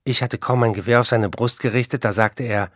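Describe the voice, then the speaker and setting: A man playing a tormented, intense writer who confesses and narrates in measured, intimate speech in a radio play. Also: mid-range voice, steady tone, no echo, clean studio sound, lightly acted. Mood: haunted recollection.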